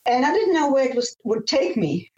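A woman's voice: sits high, big pitch swings, moderate pace, a little mellow, reverberant, dull audio. An older woman from Namibia telling her life story as an interview guest, enthusiastic and often restarting her phrases. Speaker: guest, former airline pilot.

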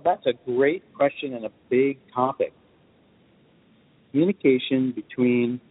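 Male interview guest, articulate explainer of his craft, medium pitch, muffled lo-fi sound with long reverb. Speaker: guest film composer. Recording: dull lo-fi audio with long reverb.

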